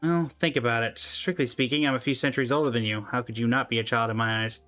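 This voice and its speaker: A man reading aloud the lines of an ancient, gentle, regretful woman guardian in a video game, in a calm, measured way. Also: medium pitch, soft background music, natural voice. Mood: solemn.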